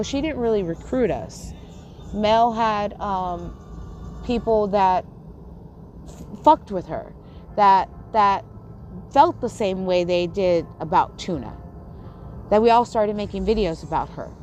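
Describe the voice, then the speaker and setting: A woman's voice: medium pitch, darkish tone, a little hiss or hum. A woman who stutters and has a lisp, ranting angrily at her livestream chat, swearing and restarting her sentences. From Texas. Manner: angry, swearing, repeats and restarts, confrontational. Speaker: YouTuber on a livestream. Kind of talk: heated livestream rant to chat.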